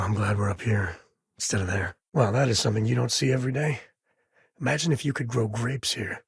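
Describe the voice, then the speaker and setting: An easygoing space ranger dad for a game, kinda husky, wry folksy drawl, super dry sound. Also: male voice, medium pitch, no room sound, full character voice. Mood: warm, musing. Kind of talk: video game voice acting.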